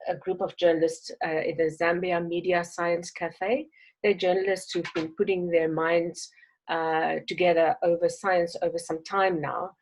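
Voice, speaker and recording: South African woman, low-pitched, measured, hesitant ums, video call. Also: a little mellow, slightly rough, rough recording. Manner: measured, thoughtful, with frequent ums. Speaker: webinar speaker.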